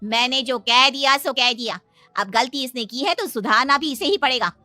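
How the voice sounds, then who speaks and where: A commanding middle-aged mother-in-law in a cartoon drama, voiced by a woman. Her voice is very high and forceful, stern and proud, recorded close and dry. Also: moderate pace, dry recording, faint music behind.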